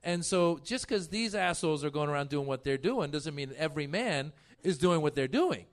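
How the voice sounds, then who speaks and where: A man's voice: fairly high pitch, expressive, normal speed. A man bantering on a comedy panel, arguing his points insistently. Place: live stage show.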